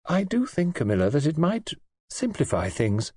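A man reading an audiobook, voicing a gentle, reserved young woman who speaks softly and calmly. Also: a little high, moderate pace, breathy edge, dry recording, clean studio sound, voice pushed up, full character voice. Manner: soft-spoken and calm. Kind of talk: audiobook character voice.

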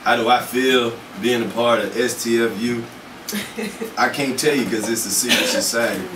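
A man's voice: medium pitch, fairly even intonation, normal speed, far from the mic. A young man from Mississippi, joking casually as a guest in a radio studio. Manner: laid-back, joking, trails off. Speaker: comedian guest. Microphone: studio mic on arm, headphones. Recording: roomy studio audio from off-mic, with noise.

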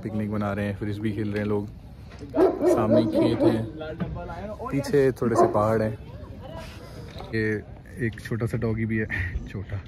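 A young man casually narrating a weekend trip vlog outdoors, relaxed and chatty. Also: lowish voice, moderate pace, pauses often, heavy background noise. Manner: relaxed, chatty, laughs with friends. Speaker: travel vlogger. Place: outdoors by a cafe and road.

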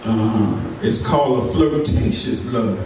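A man's voice: mid-range voice, takes it slowly. A man minister, preaching a folksy sermon in a church sanctuary with laughter and asides to the congregation. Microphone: pulpit mic, filmed by a far camera. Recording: echoey church from far off, noisy.

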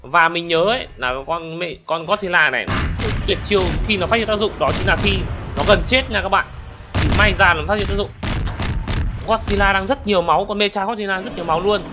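A young man commentating a monster battle video game for his channel, excitable and constantly talking to his viewers. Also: high-pitched, brisk. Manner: excitable, exclaims often, addresses viewers constantly. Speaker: gaming channel host. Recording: game battle noise behind voice. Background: explosions and gunfire from game.

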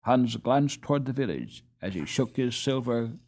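A man narrating a storybook as an audiobook reader, in an expressive scripted style. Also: medium pitch, normal speed.

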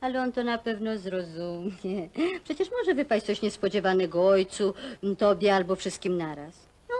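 A woman's voice: medium pitch, normal speed, a little mellow, lightly acted. An actress playing a firm, nagging, protective middle-aged mother in a naturalistic film drama. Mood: practical persuasion. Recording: old Polish film soundtrack, faint noise.

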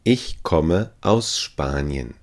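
Man, extremely deep voice, very slow delivery, careful phrase drill, language teacher. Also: expressive. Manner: says each phrase three times, carefully and evenly.

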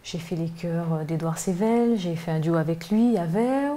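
A woman's voice: on the low side, expressive, moderate pace, mellow. A middle-aged woman from Martinique, raised near Paris, talking as an interview guest, hesitant with many fillers and restarts. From Martinique, grew up in Paris region. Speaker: gospel singer, guest. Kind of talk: casual interview chat. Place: living room by a piano, indoors. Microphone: handheld mic held by interviewer.

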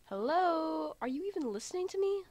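A woman's voice: very high voice, expressive, fairly quick, creaky, darkish tone, dry recording, exaggerated voice. A woman voicing a frank, confident male anime character, blunt and explanatory, in an amateur fan drama. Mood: brash. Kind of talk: amateur fan dub roleplay.

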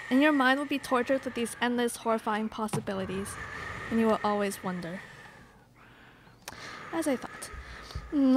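A woman's voice: fairly high pitch, natural voice. A young woman playing a teasing, dramatic college student with a florid delivery in a student radio play. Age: young adult. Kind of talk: student live radio play, read from script. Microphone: stage microphone on stand. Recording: noisy live studio pickup with clicks.

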